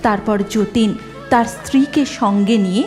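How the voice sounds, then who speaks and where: Lady narrating a cartoon tale, takes it slow, bit high, music playing behind. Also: darkish tone. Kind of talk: scripted cartoon story narration.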